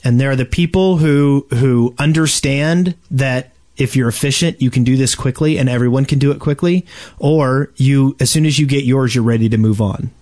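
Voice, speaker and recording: A man hosting a casual gaming podcast, telling stories in an animated, conversational way and acting out exchanges. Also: medium pitch, normal speed. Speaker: podcast host.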